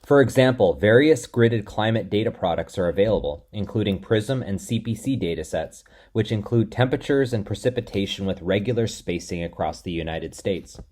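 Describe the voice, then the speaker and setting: A man delivering a scripted lecture in a measured, steady explanatory way. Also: fairly low pitch.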